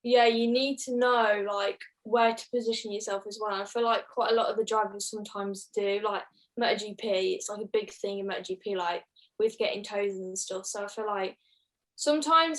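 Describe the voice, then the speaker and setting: A woman co-hosting a relaxed motorsport chat, phrasing her views informally and a little tentatively. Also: mid-range voice, rough recording. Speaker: podcast co-host. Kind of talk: casual chat about motorsport.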